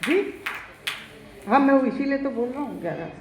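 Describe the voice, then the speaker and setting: A man's voice: extremely high pitch, expressive, moderate pace, background noise. Male comic poet working a live crowd, ribbing people by name, echoey venue. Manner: teasing, addresses audience directly. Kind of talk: live stand-up comic poetry. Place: live stage before an audience.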